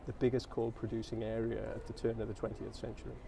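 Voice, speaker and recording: A middle-aged man from South Wales with a Welsh accent, Welsh his first language, talking in a thoughtful, halting way as an interview guest. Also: lowish voice, monotone, gravelly, distant mic, background noise. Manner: thoughtful, with frequent restarts and fillers. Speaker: guest, school headmaster. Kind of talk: casual interview.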